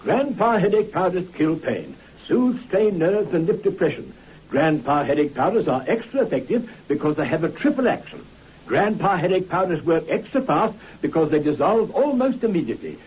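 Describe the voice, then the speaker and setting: A man with a husky voice reading a vintage radio commercial. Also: a little high, sing-song, moderate pace. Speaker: ad announcer. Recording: dull old radio recording.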